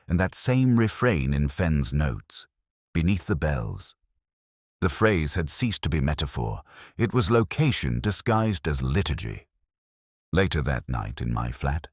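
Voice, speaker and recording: A man reading a scripted first-person detective story in a measured, literary and brooding way. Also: very deep voice, no room sound, studio quality. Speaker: narrator.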